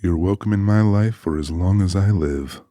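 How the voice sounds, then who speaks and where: A steady, tender tough man acted by a man in an audiobook drama. His voice sits very deep and soft-edged, with gentle, measured delivery and faint noise behind it. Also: natural voice.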